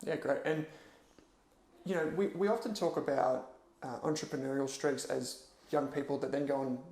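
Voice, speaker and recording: A man hosting a podcast interview, asking quick prompting questions and giving short encouraging replies. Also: mid-range voice, darkish tone. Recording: echoey room.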